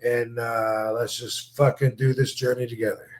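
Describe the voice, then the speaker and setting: A man's voice: mid-range voice, flat delivery, normal speed. A man in his fifties talks through a casual podcast chat. He rambles in a laid-back way, trails off into fillers and swears freely. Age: 50s. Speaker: podcast host, former wrestler.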